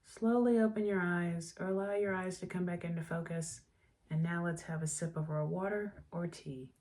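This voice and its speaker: Soft-spoken woman, nasal from a cold. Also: lowish voice, fairly even intonation, moderate pace. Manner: gentle, savoring, softly enthusiastic. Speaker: tea and book channel host. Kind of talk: relaxed tea tasting vlog with guided breathing.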